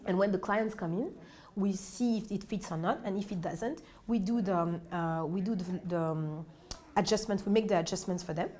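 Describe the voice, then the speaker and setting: A woman's voice: medium pitch, normal speed, darkish tone. A woman in her twenties from Senegal, speaking earnestly in a documentary interview in a clothing shop, often restarting her sentences. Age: 20s. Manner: earnest, self-correcting, many restarts. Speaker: entrepreneur.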